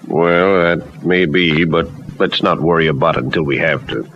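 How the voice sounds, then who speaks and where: An actor playing a calm, determined mentor and business partner, plain-spoken and paternal in an old radio soap. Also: male voice, deep voice, normal speed. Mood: concerned, practical. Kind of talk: old-time radio soap opera acting. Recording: dull, noisy old radio recording.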